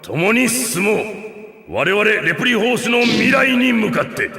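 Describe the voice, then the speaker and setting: A noble, unbending robot general from a game cartoon. His forceful voice sits fairly high and declaims gravely. It rings in a long, echoing reverb. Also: sing-song, measured pace, soft background music, heavily acted. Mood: solemn, resolute. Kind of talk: animated game cutscene acting.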